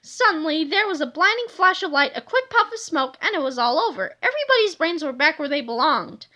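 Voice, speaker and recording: High, bright woman reading a storybook. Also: very animated, brisk. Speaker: read-aloud narrator. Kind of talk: expressive children's storybook narration.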